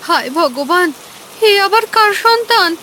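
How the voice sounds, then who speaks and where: Woman doing worried poor cartoon mom from a village, plaintive, really high bright voice, music under it. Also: lively intonation, unhurried, slight background noise, full character voice. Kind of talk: animated moral story dialogue.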